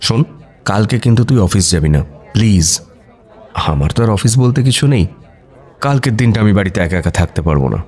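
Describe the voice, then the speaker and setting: An actor playing a playful, pleading young husband in a radio romance, teasing and insistent in a conversational way. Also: male voice, fairly low pitch, animated, quick pace, pauses often, a little mellow. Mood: weary pleading. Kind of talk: Bengali radio romance acting. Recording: music bed under the voice.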